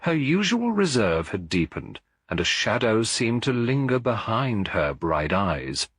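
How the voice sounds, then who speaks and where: Man, audiobook narrator, cozy mystery, husky voice, measured literary delivery, dry close sound. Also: lowish voice, very animated, fairly bright tone, dry recording.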